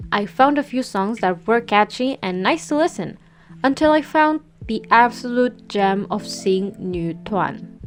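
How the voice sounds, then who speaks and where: A young woman from Peru, a Spanish speaker, telling a scripted storytime in an earnest, gently self-mocking way. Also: medium pitch. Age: young adult. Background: music bed.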